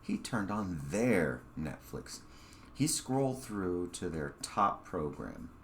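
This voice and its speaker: A man reading his own short story aloud as a first-person narrator, with halting phrasing and dramatic pauses. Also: deep voice, very animated, a little hiss or hum.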